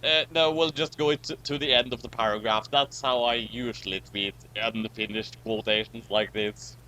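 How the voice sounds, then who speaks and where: A man's voice: medium pitch, steady tone, normal speed, sharp tone, a little hiss or hum, low-quality recording. A man hosting casual group commentary on a story, talking in a dry, sarcastic way. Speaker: commentary host.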